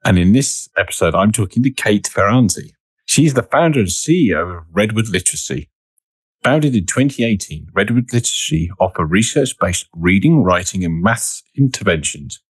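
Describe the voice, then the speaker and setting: A man with a very low, husky voice hosts a podcast interview. He talks in a friendly, chatty way. The sound is dry and close to the mic. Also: lively intonation, moderate pace, dry recording. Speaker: podcast host.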